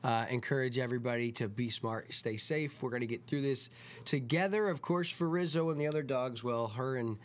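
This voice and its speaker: A man with a medium-pitched voice hosts a story time. He reads children's storybook rhymes aloud and talks casually as host. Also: brisk.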